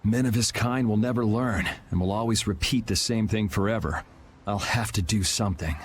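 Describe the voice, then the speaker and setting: An actor playing a cool, wry young guild vigilante in a video game, speaking in a dry, casual, sardonic way. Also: male voice, fairly low pitch, normal speed, slight background noise, lightly acted. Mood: brooding. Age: young adult.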